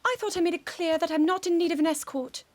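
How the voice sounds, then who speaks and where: A woman's voice: very high voice, fairly even intonation, fast. A woman playing a proud, frustrated young princess in a video game, with a formal, precise manner. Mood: indignant, curt. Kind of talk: video game cutscene acting.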